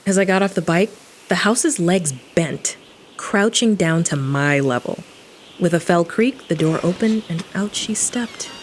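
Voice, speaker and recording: An irreverent occult-investigator heroine tells her tale in an audio drama. Her voice sits fairly low, sardonic and conversational, with clear ambient sound behind it. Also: lively intonation, unhurried, a little hiss or hum. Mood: wry, descriptive. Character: wry, irreverent female adventurer and occult investigator. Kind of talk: first-person audio drama narration.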